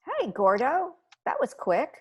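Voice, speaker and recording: A woman's voice: sits high, wildly swooping pitch, a little slow, dull audio, slight background noise, heavily acted. A middle-aged woman playing a cheerful, caring suburban mom in a comedic table read over a video call. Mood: friendly, casual. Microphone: wired earbuds on video call.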